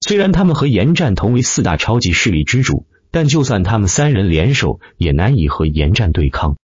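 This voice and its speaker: A man's voice: on the low side, big pitch swings, brisk, slightly rough, dry recording, lo-fi sound. A man reading a fantasy novel as dramatic scripted narration. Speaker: audiobook narrator.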